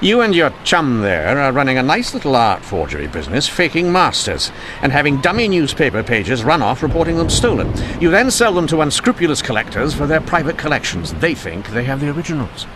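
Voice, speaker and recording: An actor playing a witty, dogged 1890s police inspector in a wry, theatrical radio mystery style. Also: male voice, mid-range voice, very animated, brisk, noisy background, natural voice. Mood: confident, accusing. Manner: wry, theatrical, formally polite. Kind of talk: old-time radio mystery acting.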